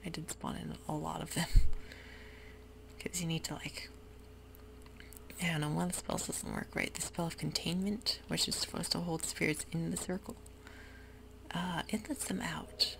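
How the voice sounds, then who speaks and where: A woman whispers her way through a computer game in a low, gravelly voice, unhurried and full of ums. The recording carries a clear hiss, with keyboard typing close by. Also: very animated, fairly bright tone. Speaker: ASMR gaming creator. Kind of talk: soft-spoken ASMR gameplay commentary. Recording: noisy home recording.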